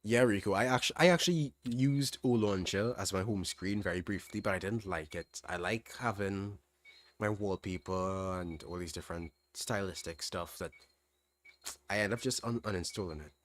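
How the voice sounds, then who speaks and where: A young man with a mild Trinidadian accent answering chat questions on a livestream, relaxed, thinking aloud with restarts. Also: low voice, normal speed. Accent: Trinidadian, fairly standard. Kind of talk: casual livestream Q&A.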